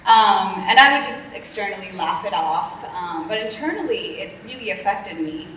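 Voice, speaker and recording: A woman's voice: medium pitch, animated, moderate pace, fairly bright tone, a little hiss or hum. A woman from Colombia, a panelist telling her story in a candid, reflective way with frequent ums. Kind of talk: panel discussion, personal story. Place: conference stage. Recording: echoey hall, distant mic.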